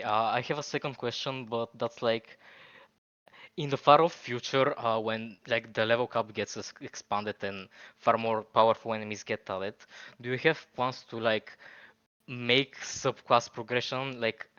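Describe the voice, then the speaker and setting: A man's voice: steady tone, unhurried, clean tone. A man from Bulgaria with a Bulgarian accent, calling in with questions, hesitant and apologetic with many restarts. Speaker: caller asking questions. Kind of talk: casual voice-chat question. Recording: muffled, quiet low-quality mic.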